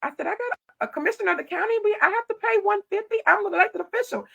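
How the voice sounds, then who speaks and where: A middle-aged woman, a county commissioner telling stories in an animated, loud voice. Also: very high voice, rapid-fire, gravelly, muffled recording. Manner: animated storytelling, acting out her own quoted replies. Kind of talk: casual interview talk.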